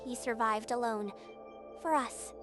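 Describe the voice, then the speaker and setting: A woman's voice: fairly high pitch, a little slow, lightly acted. A woman playing an earnest, reverent young female cadet in a scripted manga dub. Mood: reverent, moved. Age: young adult. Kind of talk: scripted anime-style drama dub. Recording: music under the voice.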